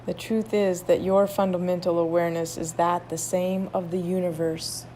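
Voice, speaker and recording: A woman leading a guided meditation, soothing, with drawn-out phrases and long pauses between them. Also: lowish voice, little pitch movement, mellow, slight background noise, poor audio.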